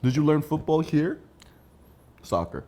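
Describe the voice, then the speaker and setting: A man's voice: big pitch swings, unhurried, dark tone. A man hosting a casual talk show, teasing his guest in a playful way. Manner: teasing, playful, drops into a low put-on voice. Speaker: host. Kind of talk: casual chat.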